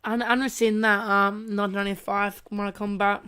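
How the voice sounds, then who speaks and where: A woman's voice: mid-range voice, little pitch movement, fairly quick, pushed and bright, clear, resonant tone. A woman co-hosting a podcast in casual chat, talking in short, choppy phrases with repeats and restarts. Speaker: podcast co-host. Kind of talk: casual chat about video game movies.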